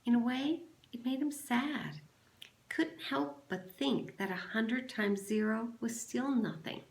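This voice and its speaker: Slow-paced woman reading aloud, echoey room. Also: on the high side, vocal fry. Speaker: teacher. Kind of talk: classroom read-aloud of a children's novel. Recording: roomy, echoing sound.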